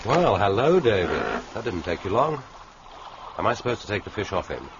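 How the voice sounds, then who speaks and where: An actor playing a suave, wry Western secret agent with urbane, formal phrasing in a radio drama. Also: male voice, fairly low pitch, sing-song, moderate pace. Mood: brisk, playful. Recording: dull, noisy radio drama recording.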